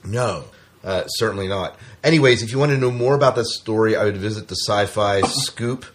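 A man with a fairly low, noticeably husky voice hosts a podcast. He talks casually and chattily, in the style of informal entertainment news, often turning to questions. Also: a little fast. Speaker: podcast host. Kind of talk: casual sci-fi news chat.

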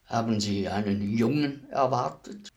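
Man playing a gruff, creaky older burglar. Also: mid-range voice, unhurried, darkish tone, pitched below the performer's own voice, natural voice. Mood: polite, apologetic. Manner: formally polite between curses. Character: clumsy older male burglar, gruff, cursing, apologetic. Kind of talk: radio play dialogue.

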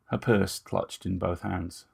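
A man's voice: deep voice, little pitch movement, slow, creaky, darkish tone, husky. A man reading scripted dramatic fiction as narrator.

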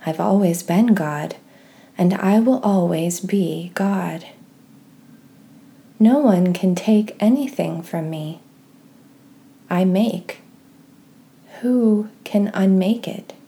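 A woman leading a guided meditation and scripture reading in a gentle, soft-spoken, measured way with pauses. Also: medium pitch, gravelly. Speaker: host of a guided prayer podcast.

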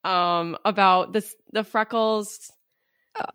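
A woman's voice: medium pitch, fairly even intonation, takes it slowly, fairly bright tone, smooth, no room sound, studio quality. A woman hosting an interview, asking rambling follow-up questions between short reactions. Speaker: podcast host. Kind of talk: casual interview chat.

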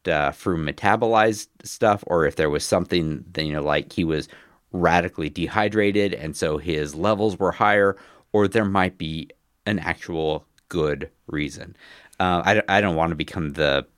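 A man with a bass voice and a cold coming on, hosting a casual podcast and talking with many hesitations and restarts. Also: moderate pace, a bit bright, clear, resonant tone, no room sound, clean studio sound. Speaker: podcast host.

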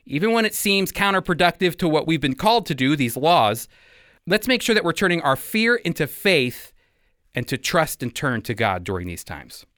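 A man's voice: a little high, sing-song, moderate pace, a bit bright, no echo, clean studio sound. A man hosting a religious radio program, speaking in an earnest, exhortative, conversational commentary style.

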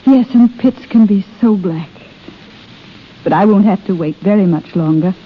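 A woman's voice: mid-range voice, normal speed, slight background noise, natural voice. An actress playing a lonely, tender, fragile young expectant mother in earnest, theatrical 1930s radio drama style. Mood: wistful, brave. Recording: thin, phone-like 1930s radio recording.

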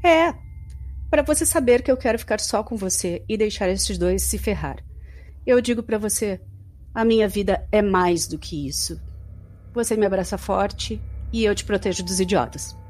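An actress with a Brazilian accent playing a chatty, affectionate young girlfriend in an informal, expressive audio drama. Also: mid-range voice, moderate pace, natural voice. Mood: playful, affectionate. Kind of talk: audio drama acting, diary-like monologue. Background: music.

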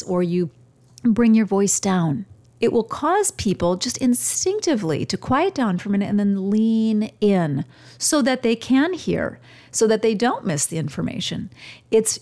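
A woman who hosts a show, speaking as a communication coach with a radio-trained delivery, using deliberate pauses and shifting her volume to demonstrate. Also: medium pitch, darkish tone. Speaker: podcast host and communication coach. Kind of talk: instructional monologue. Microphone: studio microphone.

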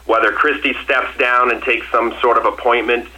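A man's voice: mid-range voice, little pitch movement, normal speed, pushed and bright, poor audio. A man from Massachusetts, a company executive answering questions on an earnings call in a confident, emphatic way, often restarting and repeating phrases.

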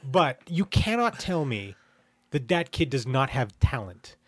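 A man's voice: medium pitch, big pitch swings, measured pace, husky, no room sound. A man co-hosting a podcast, giving his opinions emphatically in casual conversation. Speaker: podcast co-host.

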